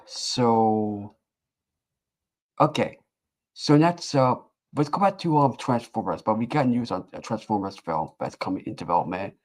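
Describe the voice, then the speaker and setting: Casual male co-host, lowish voice, stop-start delivery, lots of repeats. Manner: halting, repeats himself, full of fillers. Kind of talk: casual chat.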